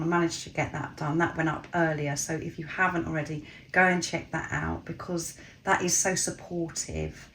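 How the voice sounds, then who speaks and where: Low, croaky woman reading cards. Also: slight background noise, rough recording. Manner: gentle, affirming, addresses listeners as souls. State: croaky, hoarse voice from a cold. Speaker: tarot card reader. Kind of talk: spiritual card reading to camera.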